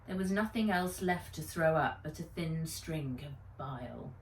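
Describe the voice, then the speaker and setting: A woman narrates a children's audiobook at a very slow, deliberate pace. Her pitch sits a little low, and she reads with expression. The recording is very echoey, as if she is some way from the microphone. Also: animated, slight background noise. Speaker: author reading own book aloud. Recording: roomy echo, distant microphone.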